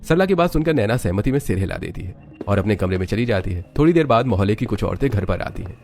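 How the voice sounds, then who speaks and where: A man's voice: on the low side, lively intonation, fast, vocal fry, a little mellow, faint music behind. A man narrating a scripted family story as a third-person storyteller.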